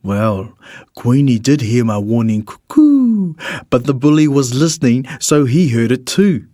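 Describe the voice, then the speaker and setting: A man narrates a rhyming picture book for children. His voice sits at a middle pitch and is recorded close and dry. He tells it expressively, in measured verse. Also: dry recording. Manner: expressive, measured storytelling in the character of a bird narrator. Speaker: storybook reader.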